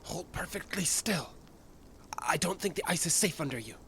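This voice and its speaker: A man's voice: fairly high pitch, animated, normal speed, bright, forceful tone, a little hiss or hum. A man playing an earnest, trusting young man, a loyal son, in an audio drama, with a hesitant, pleading manner. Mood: uneasy, cautious.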